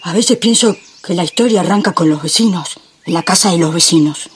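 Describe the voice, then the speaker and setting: A brooding, haunted character in a radio play, acted by a man. The reflective monologue unfolds deliberately over clearly heard music. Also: high-pitched, close to an everyday voice. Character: brooding, haunted person retelling a shared story.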